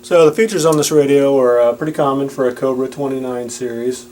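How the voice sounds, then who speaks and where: A man's voice: medium pitch, steady tone, a little fast, warm tone. A man giving a plain-spoken, instructional product demonstration. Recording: audible background noise with hum.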